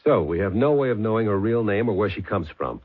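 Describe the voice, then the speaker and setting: A dogged police detective in an old radio drama. His voice is low and rough, his questions terse and probing. The recording is thin and tinny. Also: expressive, brisk. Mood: probing, insistent. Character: dogged, businesslike male police detective sergeant. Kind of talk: 1970s radio drama acting.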